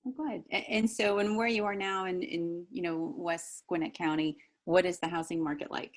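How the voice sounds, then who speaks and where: A woman hosting an interview, upbeat and encouraging, with quick replies. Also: on the low side, steady tone. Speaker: interview host. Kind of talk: casual interview.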